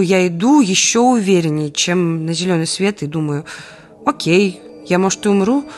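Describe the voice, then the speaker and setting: A woman's voice: fairly low pitch, lightly acted. An actress playing a wry, stubborn young woman in an audio play monologue, speaking with dry irony. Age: young adult.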